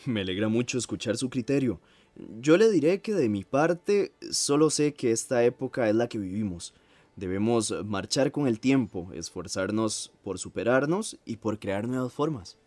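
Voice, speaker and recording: A man's voice: medium pitch, lively intonation, normal speed, darkish tone, dry recording, lightly acted. A man playing a gracious, modest 1950s composer in a radio theatre interview, with a Costa Rican accent and a formal, reflective manner.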